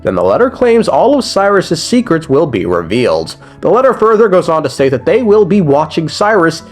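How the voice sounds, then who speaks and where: A man's voice: on the high side, very animated, normal speed, soft background music. A young man giving a casual, slangy and profane recap commentary as a gaming video creator, joking as he goes.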